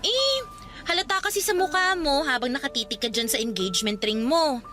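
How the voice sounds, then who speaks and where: A woman's voice: high voice, lively intonation, a little fast, pushed and bright. A woman acting as a nosy, frank young best friend in a radio drama, with a chatty, prodding, colloquial way of talking. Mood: frank, probing.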